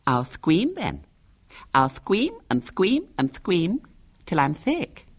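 A man voicing a shrill, lisping six-year-old girl, wilful and insistent, in a storybook reading. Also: huge pitch swings, full character voice. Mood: calm threat. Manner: plaintive, insistent, drawn-out. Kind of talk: audiobook character voice.

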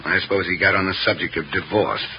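Troubled American family man, deep voice, firm and earnest, vintage radio serial, dull recording. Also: fairly even intonation, quick pace. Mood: troubled, resolute. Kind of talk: 1950s radio serial acting. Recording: muffled old radio recording with noise.

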